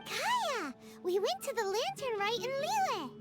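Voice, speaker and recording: Super high squeaky little fairy girl, lively up and down voice, game music under it. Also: a little slow, full character voice. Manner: talks about herself in the third person. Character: tiny excitable fairy girl companion. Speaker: companion character. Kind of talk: video game voice acting.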